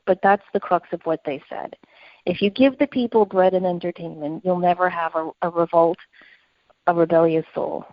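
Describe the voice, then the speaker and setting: Female podcast co-host, lowish register, earnest, reflective discussion, close dry mic with slightly muffled fidelity. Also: no room sound.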